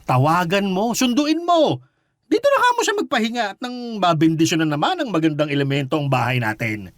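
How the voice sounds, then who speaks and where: A blustering father in a Tagalog radio drama, high-voiced and very animated. He scolds and needles in exclamations, fond under the gruffness. The sound is close and dry. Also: brisk, no room sound. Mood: bossy, teasing.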